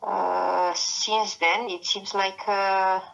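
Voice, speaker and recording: A woman from Romania with a Romanian accent, a nurse answering interview questions over a call, pausing often with many fillers. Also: medium pitch, flat delivery, vocal fry, a bit bright. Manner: halting, many pauses and fillers. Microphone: phone call. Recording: muffled lo-fi call audio.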